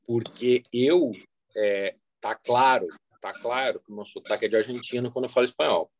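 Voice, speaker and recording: A man's voice: medium pitch, fairly even intonation, moderate pace. A Brazilian man speaking as a guest interpreter in a casual, anecdotal chat, using slangy, colloquial speech.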